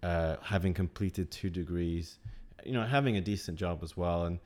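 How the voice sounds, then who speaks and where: Deep-voiced man chatting reflectively. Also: fairly even intonation, moderate pace, a little mellow. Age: 20s. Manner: reflective, rambling, laughs often. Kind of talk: casual chat.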